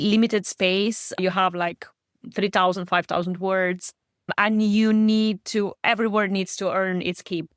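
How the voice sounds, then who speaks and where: Woman, native Slovenian speaker, interview guest, thoughtful, halting restarts, mid-pitched, dry close sound. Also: no echo. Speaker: guest author and book coach. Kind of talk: podcast interview conversation.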